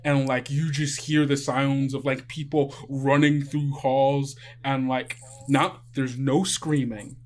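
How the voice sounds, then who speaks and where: A man running a tabletop game as game master, narrating off the cuff in a casual, halting, colloquial way. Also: medium pitch, level tone. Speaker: game master and podcast host.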